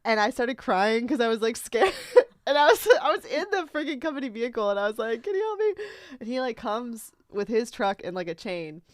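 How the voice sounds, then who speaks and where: A young American woman co-hosting a podcast in casual chat, animated and informal, prone to giggling. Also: fairly high pitch, fairly quick, no room sound, clean studio sound. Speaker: podcast co-host.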